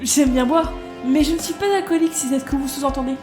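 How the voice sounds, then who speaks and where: A woman's voice: sits high, steady tone, fast, a little mellow, soft background music, natural voice. An actress playing a brash, irreverent young woman engineer in an audio drama, blunt, slangy and sardonic. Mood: defiant.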